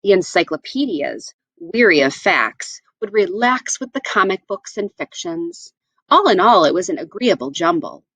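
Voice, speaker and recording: A woman reading a picture book aloud to children, with expressive, deliberate phrasing. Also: mid-range voice, husky, dry recording, highs cut off. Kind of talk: read-aloud storytelling for children.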